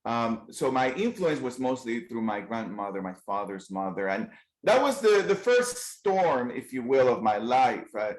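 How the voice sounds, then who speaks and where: A man with a Colombian Spanish accent delivers an earnest motivational keynote. He often restarts his sentences and fills pauses with "um" and "uh". His voice comes through a video call with a roomy echo. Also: medium pitch. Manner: earnest, frequent restarts and fillers. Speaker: keynote speaker.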